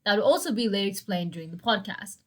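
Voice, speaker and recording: A young woman with a hoarse, dry voice hosting a solo educational podcast, chatty and prone to self-deprecating asides. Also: mid-range voice, lively intonation, fast. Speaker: podcast host.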